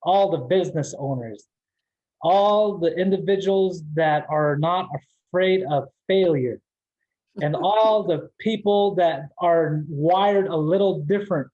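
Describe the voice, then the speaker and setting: A man's voice: on the high side, slow, muffled recording. A man from the Navajo Nation in New Mexico, giving a casual motivational talk as guest speaker, joking and laughing often.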